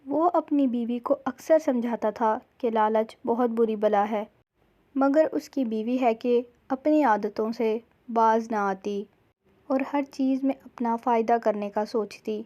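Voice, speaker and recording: A woman's voice: fairly high pitch, a little slow, mellow. A woman storyteller reading scripted narration for a cartoon village tale. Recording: slightly dull, lo-fi sound.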